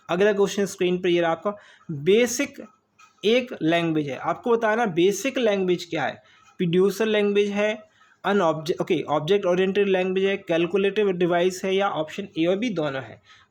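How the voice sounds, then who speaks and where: A man with an Indian accent and a high-pitched voice teaches in an informal exam-prep style. He goes through material instructively, repeating key phrases and punctuating them with short check words. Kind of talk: informal lesson going through multiple-choice questions.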